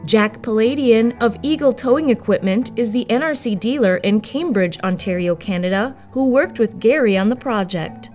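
A woman's voice: on the high side, normal speed, hardly any pauses, darkish tone. Upbeat woman narrating over music. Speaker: show host and narrator.

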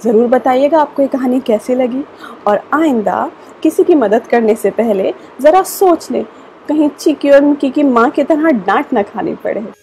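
Expressive woman narrating to kids on webcam; high pitch, light hiss. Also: normal speed, rough recording. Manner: expressive, acts out characters. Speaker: storyteller. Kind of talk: storybook narration for children. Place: indoor room with sofa.

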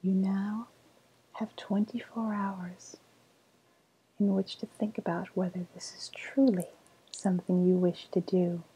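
A woman playing a sly, cooing woodland witch with a faint menace, in a breathy, softly spoken ASMR roleplay whisper. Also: mid-range voice, level tone, low-quality recording. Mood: knowing, ominous. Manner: slow, savouring, coaxing delivery.

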